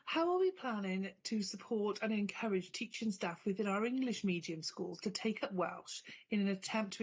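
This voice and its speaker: A woman's voice: mid-range voice, breathy edge. A woman councillor reading out a prepared question carefully at a council meeting. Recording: lo-fi call audio.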